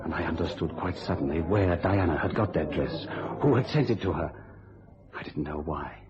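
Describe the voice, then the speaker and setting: An actor playing a haunted, intense stage magician with a British accent in a dramatic radio thriller. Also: male voice, low voice, normal speed, natural voice. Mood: brooding, haunted. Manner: dramatic, brooding storytelling. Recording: muffled, noisy old radio recording.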